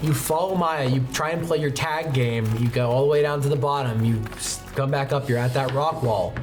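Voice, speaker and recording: A man's voice: mid-range voice, a little fast, over music. A man narrating a tabletop role-playing game as game master.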